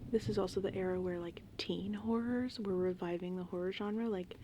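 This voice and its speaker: A woman rambling casually and informally in an ASMR style, with a soft, breathy voice that often slips into a whisper. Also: mid-range voice, normal speed, slight background noise. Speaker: ASMR host. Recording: steady rain and thunder ambience. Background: gentle rain and thunderstorm sounds.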